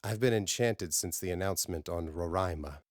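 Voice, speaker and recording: A serene and intuitive alien woman, read by a male narrator in a science fiction audiobook. The voice is low, calm and formal, reassuring in manner, and recorded dry. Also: moderate pace, no echo, natural voice.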